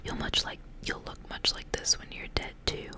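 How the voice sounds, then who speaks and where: Storybook role: talking skull of a dead horse, stern and solemn, breathy whisper only woman reader performing. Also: normal speed, close to an everyday voice. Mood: solemn, knowing.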